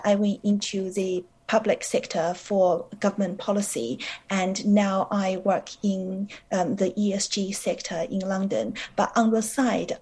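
Husky, earnest woman in her thirties. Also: medium pitch, darkish tone. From born in China, raised in New Zealand from age twelve. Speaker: podcast guest, communications specialist. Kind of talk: conversational interview answers.

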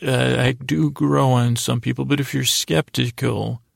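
Very creaky, gravelly man rambling at bedtime. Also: mid-range voice, steady tone, dry recording, clean studio sound. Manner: meandering, hesitant, halting, trailing off; lighthearted, whimsical. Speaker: podcast host. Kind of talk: rambling bedtime monologue to help listeners sleep.

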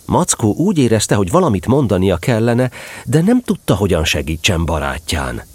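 A man narrates a children's tale as an expressive storyteller. His voice is on the low side and moves through a wide, animated melody as he reads the scripted prose. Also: breathy edge, dry recording.

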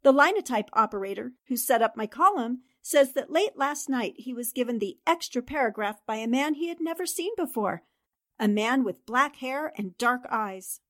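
A woman's voice: a little high, lively intonation, normal speed, fairly bright tone, no echo, clean studio sound. A woman playing a composed, inquisitive newspaper columnist in a 1940s style radio drama, earnest and direct.

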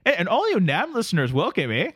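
A man doing a folksy Canadian buddy with a Canadian accent who teases his co-host and tosses out "buddy" and "eh" constantly. His voice sits fairly high and swings widely in pitch. He delivers it as comedy podcast banter. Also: wildly swooping pitch, fast, fairly bright tone, breathy edge, heavily acted. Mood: teasing, jokey. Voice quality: folksy, teasing, calls everyone buddy. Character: jokey Canadian man, hoser buddy character.

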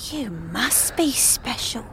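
A woman plays a street-wise slum woman, amused and cagey, in a fantasy audio drama. The voice sits high and teases in slang, with faint noisy ambience behind it. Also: lively intonation, slow, bright, forceful tone, exaggerated voice. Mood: amused, teasing.

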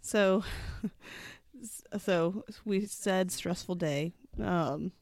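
A woman's voice: fairly low pitch, extremely slow, a little mellow, dry recording. A woman co-hosting a podcast in casual chat, with a slightly congested sound. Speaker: podcast co-host.

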